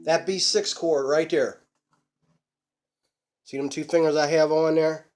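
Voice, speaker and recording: Casting: middle-aged male vlog host, slightly hoarse, unhurried and folksy, fairly high voice, webcam in a small home room. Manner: folksy, chatty, preacher-like emphasis. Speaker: ukulele channel host. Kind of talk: casual instructional vlog.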